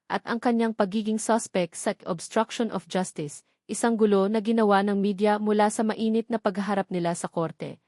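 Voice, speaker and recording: A woman's voice: mid-range voice, darkish tone, no echo, studio quality. A woman reading a scripted, dramatic love story as its narrator.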